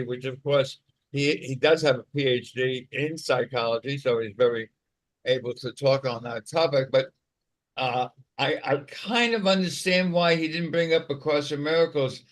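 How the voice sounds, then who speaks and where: A man's voice: medium pitch, low-quality recording. A man with a mild stutter on a video call, explaining things in a casual discussion, often repeating words. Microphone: online video call.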